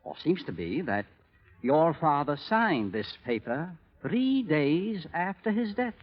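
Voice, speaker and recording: An actor playing a desperate, menacing moneylender in a radio drama, insistent and formal, with coolly insinuating delivery. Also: male voice, a little high, big pitch swings, slow, soft background music, exaggerated voice. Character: desperate, menacing male moneylender and bank clerk with children. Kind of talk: 1930s radio drama acting. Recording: muffled, hissy old radio recording.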